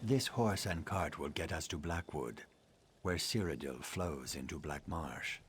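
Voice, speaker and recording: A low-voiced, scholarly reptilian assassin from a fantasy video game. He speaks formally and with measured care, brooding and wryly sardonic. Some ambient noise sits behind the voice. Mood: dryly obliging. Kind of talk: video game dialogue acting.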